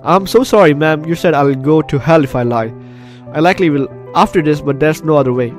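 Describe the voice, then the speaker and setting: A man hosting a gameplay video, reading game text aloud and breaking in with expressive reactions. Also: a little high, brisk, faint music behind. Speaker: gaming video host.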